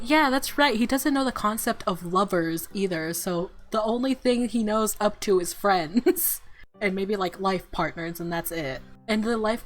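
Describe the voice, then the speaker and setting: Excitable girl streamer reading out a game and reacting, giggly, medium pitch, soft music behind. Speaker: gaming streamer. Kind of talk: playthrough commentary and narration.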